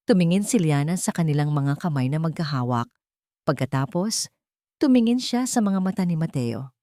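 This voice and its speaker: A woman reads a dramatic story as its narrator. Her voice sits fairly low and moves with lively intonation, sentimental and measured. The recording is dry and free of room sound. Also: dry recording.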